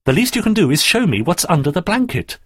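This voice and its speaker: A man's voice: on the high side, wildly swooping pitch, normal speed, husky, dry recording, clean studio sound, voice pushed up, full character voice. A man voicing a bossy, scornful schoolgirl who is snappy and demanding in a children's story.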